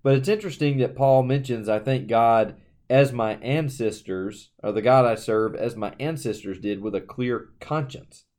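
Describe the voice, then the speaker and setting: A man's voice: medium pitch, normal speed, a little mellow. A man co-hosting a casual Bible study discussion, talking conversationally with frequent fillers and reading verses aloud. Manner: conversational, reads scripture aloud, uses fillers. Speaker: podcast co-host.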